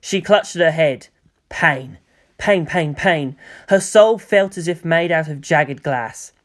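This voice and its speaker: A woman reading fan fiction aloud as narrator, steady and expressive. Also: sits low, slow.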